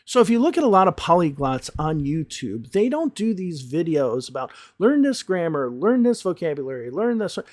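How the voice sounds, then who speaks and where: A man, an English teacher, giving emphatic, animated commentary on a video he is reacting to. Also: on the high side, moderate pace, few pauses, dry recording.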